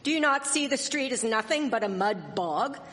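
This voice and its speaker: A woman playing a sharp-tongued, indignant Victorian village wife in a live radio drama, scolding and sarcastic in formal diction. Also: a little high, lively intonation, normal speed. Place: performing arts theatre stage. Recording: echoey hall, live stage performance.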